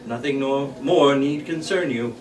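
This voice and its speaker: A man playing a pompous, prim male erotica collector at a table read, speaking in a halting, formal, haughty way. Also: mid-range voice, lightly acted. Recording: roomy, distant mic, faint noise.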